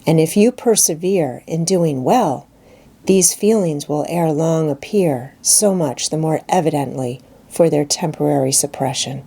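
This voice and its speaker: A woman voicing a calm, pious schoolgirl friend in a storybook reading, measured, earnest and gently reproving. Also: deep voice, gravelly, breathy edge, heavily acted. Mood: calm, reassuring. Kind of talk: dramatised audiobook reading.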